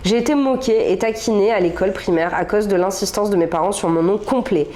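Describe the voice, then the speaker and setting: A woman's voice: medium pitch, brisk, mellow. Chatty young woman reading a story aloud. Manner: chatty, reads a story aloud with small slips and asides. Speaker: podcast co-host reading stories. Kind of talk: casual chat and reading aloud.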